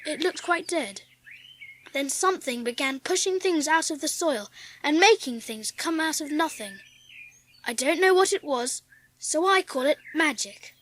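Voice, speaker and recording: A woman's voice: high voice, fairly bright tone, slight background noise. A woman playing an imperious, determined young invalid boy in a dramatised audiobook, speaking in earnest declarations.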